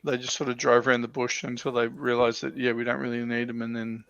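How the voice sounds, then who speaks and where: A man with an Australian accent talks casually and tells anecdotes in a halting way, repeating words as he goes. His voice sits at a middling pitch. The sound is close and dry but a little muffled, like an online call. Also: flat delivery, creaky, fairly bright tone, no echo. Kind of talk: casual chat, storytelling. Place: livestream video call. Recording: dull, lo-fi voice call audio.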